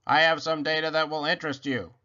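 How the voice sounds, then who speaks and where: Polite storybook robot acted by a man: logical, formal, deliberate, bright and clipped. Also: fairly high pitch, flat delivery, lo-fi sound, voice pushed up. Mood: calm, helpful. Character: calm, logical, polite male household robot. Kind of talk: children's storybook character voice.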